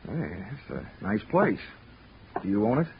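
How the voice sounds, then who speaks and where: An actor playing a cocky, wisecracking insurance investigator in 1940s radio detective style. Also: male voice, on the low side, very animated, unhurried, noisy background. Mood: wry, casual. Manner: dry, wisecracking. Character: wry, cocky hard-boiled male insurance investigator. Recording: dull old radio recording with music.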